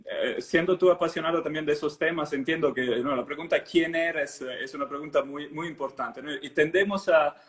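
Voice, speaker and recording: A man's voice: a little high, normal speed, few pauses, a bit bright. A middle-aged man from southern Italy with an Italian accent, telling his story conversationally as a guest, with hesitant phrasing and frequent checking tags. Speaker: interview guest, author. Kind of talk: conversational personal account.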